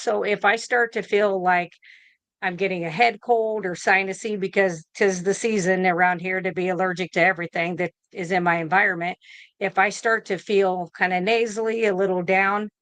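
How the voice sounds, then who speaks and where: A woman's voice: mid-range voice, steady tone, normal speed, a bit bright, dry recording. A woman in her fifties, a nurse answering questions in a casual video-call interview, rambling with fillers and restarts. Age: 50s. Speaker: guest nurse.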